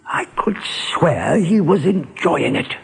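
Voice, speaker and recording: An actor playing a gruff, worldly rabbit guard in a radio drama, telling things in a colloquial, dramatic, sardonic way. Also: male voice, fairly high pitch, sing-song, slow, full character voice. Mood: bitter, confiding. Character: gruff male rabbit guard, worldly, grimly relishing storyteller.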